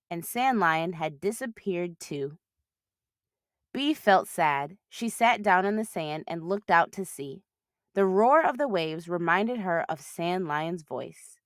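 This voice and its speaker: A woman hosting a children's read-aloud, narrating expressively with clear phrasing. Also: medium pitch, no room sound, clean studio sound.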